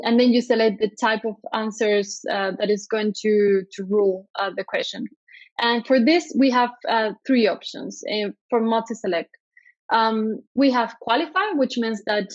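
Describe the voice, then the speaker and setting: A woman's voice: fairly high pitch, no echo, highs cut off. A woman from Spain with a Spanish accent, giving a conference talk over a video call, with lots of ums and uhs. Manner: hesitant, frequent ums and uhs. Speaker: conference speaker. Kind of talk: conference presentation.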